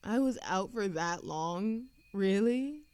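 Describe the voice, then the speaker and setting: A woman's voice: medium pitch, lively intonation, a little mellow, clean tone, no room sound. Woman acting as a flirty, lilting immortal woman. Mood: musing, distracted. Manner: theatrical, coy, teasing, with frequent giggles and pauses. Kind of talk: scripted audio roleplay addressed to an unheard listener.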